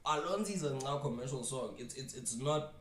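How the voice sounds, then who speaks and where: A man's voice: medium pitch, lively intonation, brisk. A South African man commenting casually, rambling and repeating words while mixing in local slang. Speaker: reaction streamer. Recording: echoey room, far from mic.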